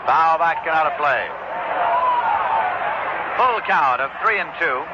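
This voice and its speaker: A man's voice: a little high, animated, slow pace. A man calling baseball play-by-play as a live radio commentator. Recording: dull old radio broadcast, loud crowd noise. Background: loud stadium crowd.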